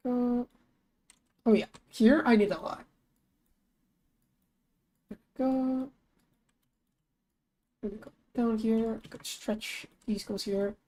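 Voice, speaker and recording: A young woman talks her way through her work on a live stream, casual and chatty, thinking aloud. Her voice sits fairly high but sounds mellow and a little lower than usual today. Now and then she bursts into high, excited greetings. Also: slow, pauses often. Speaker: streamer and artist. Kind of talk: casual live-stream chat while working.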